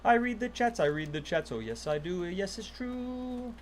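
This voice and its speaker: A man's voice: high voice, lively intonation, moderate pace, slight background noise. A man casually chatting on a gaming livestream, playful and talkative, thanking viewers as he plays. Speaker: livestream gamer.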